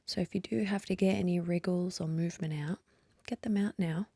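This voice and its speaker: A woman leading a guided meditation in a soft, breathy voice, gently and unhurriedly, with long pauses. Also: lowish voice, steady tone, no echo, clean studio sound. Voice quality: soft, breathy, partly whispery. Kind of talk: guided meditation, slow calm instruction.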